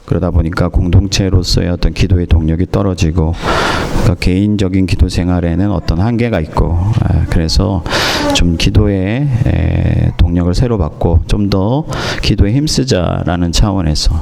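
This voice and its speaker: A man preaching a sermon as pastor, hoarse from a cold, speaking in measured phrases with pauses and fillers. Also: low voice, crowd noise. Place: church in Moscow.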